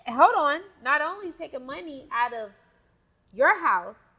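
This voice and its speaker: A woman hosting a casual talk show, her voice high and bright. She jumps in with fast affirmations and lively reactions. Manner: quick reactive interjections. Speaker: podcast host. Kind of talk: candid casual chat.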